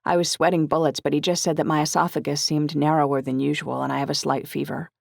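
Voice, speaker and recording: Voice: medium pitch, little pitch movement, fast talker, vocal fry, dry recording, clean studio sound. A narrator voicing an earnest, troubled young woman who speaks candidly and conversationally in an audiobook. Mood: wry, confiding.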